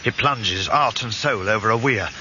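A man's voice: lowish voice, moderate pace, pushed and bright. An actor playing a bitter, vengeful working-class man who narrates his own tale in a radio drama. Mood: brooding narration. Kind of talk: radio drama acting, brooding first-person narration. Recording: dull, noisy broadcast recording.

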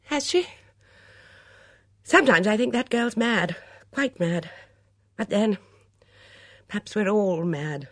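A woman's voice: mid-range voice, expressive, slow pace, pauses often, fairly bright tone, no room sound, clean studio sound, voice pushed up. A woman voicing a weary, anxious older lady of the house, strained and fretful, in an audiobook story. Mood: weary, chilled.

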